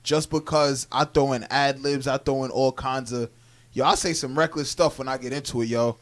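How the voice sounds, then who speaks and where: Chatty man with a booming voice. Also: fairly even intonation, a bit bright. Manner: quick, chatty, strong booming voice. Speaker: radio show host. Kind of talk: casual interview chat.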